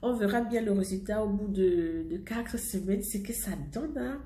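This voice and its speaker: A chatty woman with a slight head cold, talking casually to her followers about a new product. She repeats points and laughs between lines, in a voice of middling pitch. The sound is echoey, as if recorded in a bare room. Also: normal speed, warm tone. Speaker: cosmetics seller presenting a product. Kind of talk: casual product vlog to subscribers.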